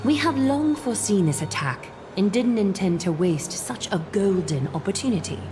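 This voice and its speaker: An actress playing a serene, regal leader of a holy city in a video game, speaking in a formal, measured way. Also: female voice, mid-range voice, lively intonation, natural voice. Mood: composed. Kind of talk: video game character acting. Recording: loud game music behind. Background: music.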